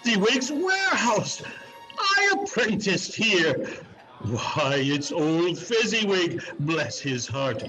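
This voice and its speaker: A man playing an old miser in a dramatic reading, theatrical and halting, full of feeling. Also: sits high, sing-song, pushed and bright, faint music behind, exaggerated voice, poor audio. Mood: delighted nostalgia. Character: old miser, frightened, wistful and remorseful.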